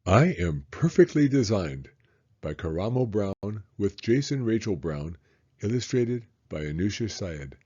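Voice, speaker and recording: A man narrates for children in a read-aloud style. His voice is dark and a little raspy, with animated intonation, on a slightly dull recording. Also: lowish voice, a little slow. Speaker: children's story reader.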